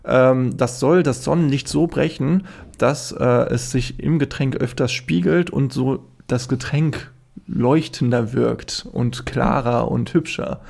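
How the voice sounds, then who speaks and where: A man's voice: mid-range voice, takes it slowly, vocal fry, a little mellow. A man from Hesse with a light Hessian accent, a podcast guest chatting casually with many fillers and frequent laughs. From Hesse, Germany. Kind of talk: casual chat.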